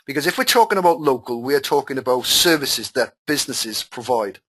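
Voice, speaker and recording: A man whose first language is Welsh runs a live online class, reading questions aloud and answering them at length. He talks in a chatty way and repeats his points, and his pitch sits in an ordinary middle range. It sounds like he is on a computer mic during a call. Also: expressive, brisk, fairly bright tone. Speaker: webinar host and marketing coach. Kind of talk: live webinar Q&A.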